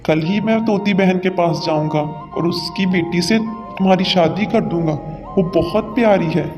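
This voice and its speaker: A man's voice: high-pitched, moderate pace, highs cut off, natural voice. A man voicing a stern, self-serving father bird in a children's cartoon, speaking in a plain declamatory way. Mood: pleased. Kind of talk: Hindi children's cartoon dubbing. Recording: long hall reverb, music underneath.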